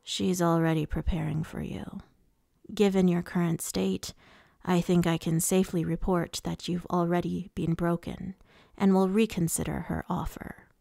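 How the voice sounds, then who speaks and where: A woman's voice: lowish voice, flat delivery, normal speed, gravelly, warm tone, no room sound, clean studio sound. A woman voicing a taunting, casually cruel immortal male lord in an audiobook fantasy story, with a purring menace. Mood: taunting, menacing. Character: menacing, smooth, mocking immortal male High Lord villain.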